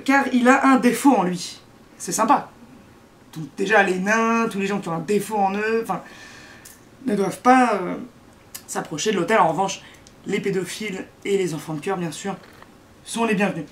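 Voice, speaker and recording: Sarcastic man doing a mocking monologue. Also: high voice. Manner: sarcastic, mocking, halting delivery. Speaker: satirical comedy host. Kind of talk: satirical comedy monologue.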